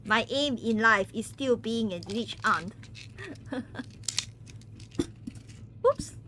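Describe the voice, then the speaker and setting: Woman streamer, bright higher voice, giggly and stumbling, casual chat. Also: very slow, slight background noise. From Malaysia. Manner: giggly, stumbles and repeats words. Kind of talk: casual chat with viewers during a game stream.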